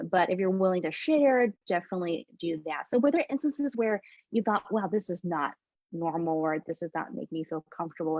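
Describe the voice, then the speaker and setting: A woman's voice: lowish voice, a little mellow, no room sound. A woman hosting an interview, asking careful, hedging questions. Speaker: host. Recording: dull dry lo-fi audio.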